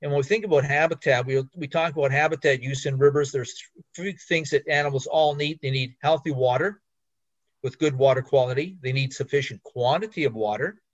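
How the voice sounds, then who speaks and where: Older male lecturer, middle pitch, rambling, muffled dry recording. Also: fairly quick, dry recording. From Canada. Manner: rambling, restarts and fillers, anecdotal. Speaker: fisheries biologist giving a talk. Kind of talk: slide presentation lecture. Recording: dull, slightly muffled recording.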